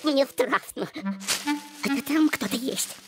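A woman voicing a small, timid, jumpy hare in a cartoon, hushed, nervous and hurried. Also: sits high, music in the background. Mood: frightened. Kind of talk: cartoon voice acting.

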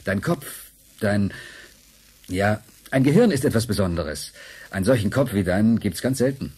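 An actor playing a kindly, patient scientist in a radio drama, with a husky voice and a hesitant, explanatory manner. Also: male voice, lowish voice, lively intonation, normal speed, darkish tone. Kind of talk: German radio drama acting.

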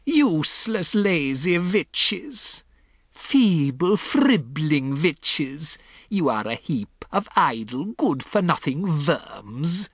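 A storybook narrator voicing a furious, imperious female witch leader, shrieking with drawn-out, emphatic words. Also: medium pitch, huge pitch swings, husky, no room sound, studio quality, heavily acted. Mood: furious, scornful. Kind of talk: theatrical storybook character voice.

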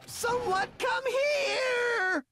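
A man's voice: very high voice, extremely slow, heavily acted. Shrieking, hysterical young man. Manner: shrieking, desperate. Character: hysterical young man screaming in a video game. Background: loud game music.

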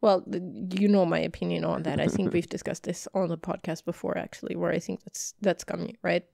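A woman's voice: fairly low pitch, fairly even intonation, brisk, vocal fry, dark tone, dry recording. A female podcast co-host talking in a normal voice in casual chat, dry, matter-of-fact and opinionated.

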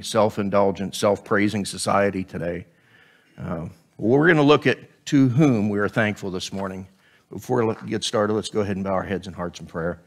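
A man's voice: lowish voice, gravelly. Scratchy-voiced man preaching from a pulpit. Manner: conversational, joking asides between scripture. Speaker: guest preacher. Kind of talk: sermon. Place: church stage at a pulpit. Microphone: headset mic.

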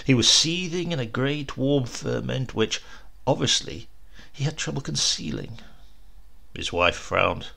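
Slow, very gravelly male story narrator. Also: mid-range voice, lively intonation, sharp tone. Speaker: audiobook narrator. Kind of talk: expressive scripted story narration.

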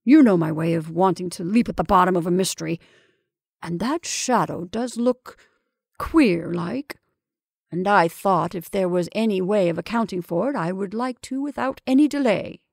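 A woman voicing a man in a story who talks with deliberate, pleasant ease to cover his unease. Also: medium pitch, gravelly, darkish tone, no echo, studio quality. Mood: forced ease. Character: man, brother, falsely easy, covering unease.

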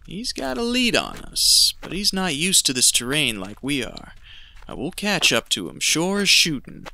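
Gravelly, sharp-voiced determined treasure hunter played by a man. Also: on the high side, huge pitch swings, normal speed, heavily acted. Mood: grim, determined. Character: grim, determined male treasure hunter in the desert. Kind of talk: audio drama acting.

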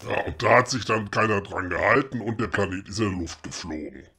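A man playing a gruff, sarcastic, impatient fellow in a comedy audio drama, husky. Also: fairly low pitch, brisk, sharp tone, a little hiss or hum. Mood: dry, probing. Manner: colloquial, dry, sarcastic, blunt.

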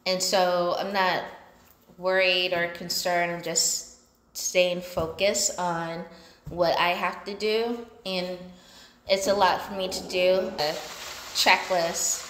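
Woman maybe 30s just chatting to her phone, lowish voice, takes her time w lots of pauses, roomy echo. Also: fairly bright tone, slight background noise. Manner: unhurried, pausing between short phrases while busy with chores. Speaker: solo entrepreneur and vlogger. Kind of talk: casual chat to camera. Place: large indoor basement-like room with exposed pipes. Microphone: phone propped at about arm's length or more. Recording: echoey room.

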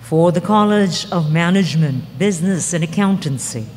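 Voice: mid-range voice, lively intonation, moderate pace, a little mellow. Formal emcee announcing in a hall. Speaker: ceremony emcee. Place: large ceremony hall. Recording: reverberant hall, faint noise.

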